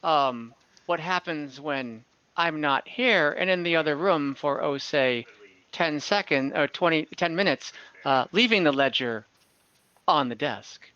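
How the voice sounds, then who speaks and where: A man's voice: fairly high pitch, unhurried, pushed and bright, clean tone, dull audio. A man voicing a coy female innkeeper who pretends she cannot share her guest ledger. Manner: coy, hesitant, playing at reluctance.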